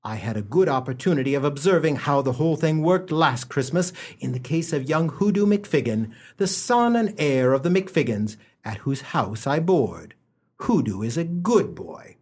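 An actor playing a droll, mock-solemn storyteller narrating a comic radio play. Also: male voice, fairly high pitch, big pitch swings, normal speed, darkish tone, breathy edge.

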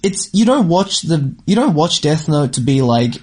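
A man's voice: on the high side, big pitch swings, moderate pace, slightly rough. A young man co-hosting an anime show, explaining his views in casual, emphatic conversation. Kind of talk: casual chat, discussing anime.

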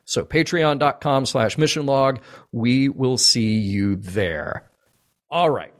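A man's voice: mid-range voice, takes it slowly. Chatty man hosting a live show. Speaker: host of a live fan call-in show. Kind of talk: casual live podcast hosting.

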